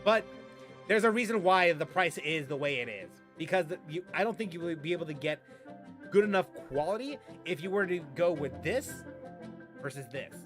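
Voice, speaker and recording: A man's voice: a little high, expressive, normal speed, a bit bright, faint music behind. A young man hosting a gaming channel, talking casually and rambling with many fillers and restarts. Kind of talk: casual unscripted vlog talk.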